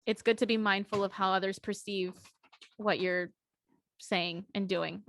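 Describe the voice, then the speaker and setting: A woman's voice: medium pitch, steady tone, measured pace, a bit bright. A young woman co-hosting a podcast in casual chat, talking earnestly and reassuringly, with lots of "like" and "um". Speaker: podcast co-host.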